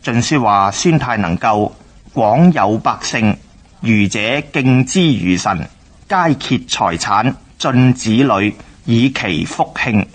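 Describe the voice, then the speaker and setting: A man with a Hong Kong Cantonese accent narrating a historical radio drama in a formal, measured, scripted way. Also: medium pitch, expressive, husky.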